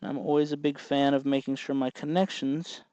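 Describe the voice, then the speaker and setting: The guy at the next workbench in a hobby workshop, patiently talking you through each step in a smooth, slightly high voice, close and dry. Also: level tone, a little fast, mellow, no echo, dull audio. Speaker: host of a DIY electronics tutorial. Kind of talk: casual hands-on tutorial, explaining while working.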